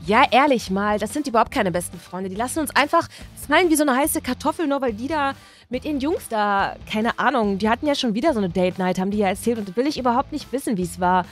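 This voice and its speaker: A huffy teenage mean girl, acted by a woman in a toy-figure story, scornful and jealous. Her slangy, offended talk is fairly high and sits over music. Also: lively intonation, fast talker. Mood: huffy, resentful.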